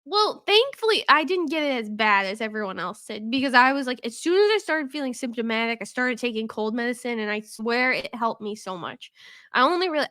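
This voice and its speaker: A woman's voice: a little high, fairly bright tone, no room sound, studio quality. A woman co-hosting a podcast, congested from a cold, rambling with lots of "like" and frequent laughs. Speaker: podcast co-host. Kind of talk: casual chat. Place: podcast studio.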